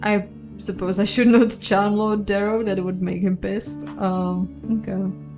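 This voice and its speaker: A woman's voice: mid-range voice, flat delivery, unhurried, music in the background. Casual woman narrating a game playthrough. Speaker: video game streamer and narrator. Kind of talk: casual playthrough commentary and story reading.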